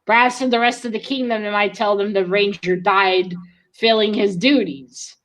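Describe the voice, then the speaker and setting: A woman's voice: medium pitch, fairly even intonation, normal speed, low-quality recording. A woman co-hosting a read-along in casual discussion, thinking aloud and speculating with some hesitation. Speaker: co-host of a book read-along.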